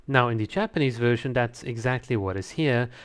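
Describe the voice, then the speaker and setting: A man's voice: mid-range voice, fairly quick, clean tone. A man hosting a video review, talking casually and conversationally with opinions and enthusiasm. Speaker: host of a video channel reviewing game trailers. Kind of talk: casual reaction commentary.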